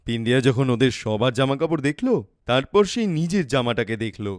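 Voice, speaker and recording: A man's voice: mid-range voice, expressive, a little fast, no room sound, studio quality. A man narrating a scripted children's cartoon story.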